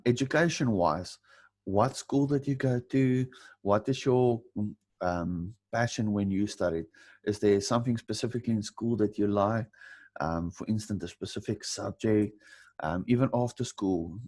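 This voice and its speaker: A man hosts an interview in a slightly deep voice. His manner is conversational and affirming as he puts questions to his guest. The sound is close and dry, with little room in it. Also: a little mellow, dry recording. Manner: affirming, appreciative. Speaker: interview show host.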